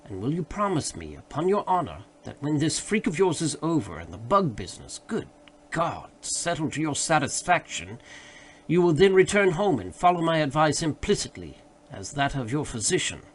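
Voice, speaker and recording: A man voicing a worried, sensible gentleman friend who remonstrates earnestly and formally in a literary audiobook. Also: on the high side, big pitch swings, normal speed, breathy edge. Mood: alarmed, pleading. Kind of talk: literary audiobook character dialogue.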